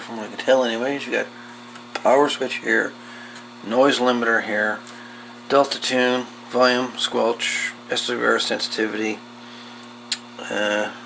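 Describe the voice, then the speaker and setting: A man giving a casual, folksy, hands-on repair walkthrough, talking in short, unhurried pieces. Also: medium pitch, gravelly, poor audio. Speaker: host of a radio repair channel. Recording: loud background noise with clicks.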